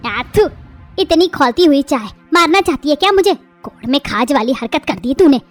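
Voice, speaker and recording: A woman voicing a harsh middle-aged mother-in-law in an animated family drama, hurling shrill insults. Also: moderate pace, soft background music. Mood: furious. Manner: shrill, insulting, shouting tirades.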